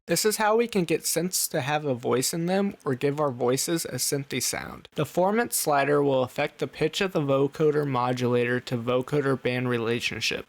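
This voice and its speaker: A man reading scripted tutorial narration in an explanatory, instructional way. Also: medium pitch.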